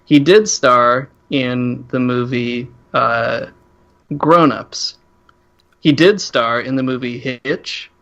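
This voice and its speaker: A man with a bright, forward voice and a medium pitch hosts a casual comedy chat. He talks in a joking, teasing manner, like someone setting up a reveal for friends. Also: slow, gravelly, lo-fi sound. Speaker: podcast host.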